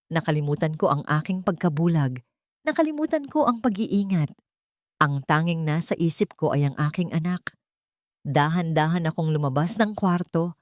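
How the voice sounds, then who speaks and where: Filipina woman reading a drama audiobook, lowish voice, really dry sound. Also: darkish tone, no echo. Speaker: audiobook narrator. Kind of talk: dramatic first-person scripted narration.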